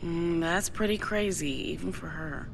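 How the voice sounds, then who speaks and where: An actress playing an evasive, determined young woman in a video game, talking in a casual, slangy, offhand way. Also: a little slow. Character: evasive, determined young woman investigating her missing dad. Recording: clear low rumbling background noise.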